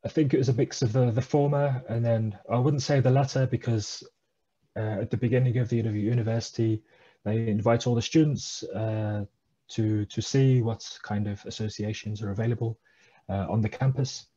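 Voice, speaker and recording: A man's voice: medium pitch, steady tone, moderate pace, warm tone, breathy edge, dull audio. A man, a guest talking hesitantly over a headset on a video call from a small room. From England. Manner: hesitant, many uh fillers, thoughtful. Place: small room at home, indoors.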